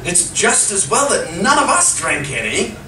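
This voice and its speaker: A woman's voice: mid-range voice, very animated, normal speed, pushed and bright. A woman playing a sweet, fussy, innocent church worker in a live comedy radio play, effusive and fretful. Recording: echoey distant live recording, clear noise.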